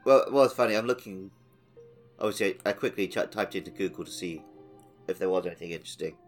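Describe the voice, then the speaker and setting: A man's voice: low-pitched, fast talker, soft background music. A man co-hosting a podcast, chatting casually through a video game playthrough. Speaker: podcast co-host.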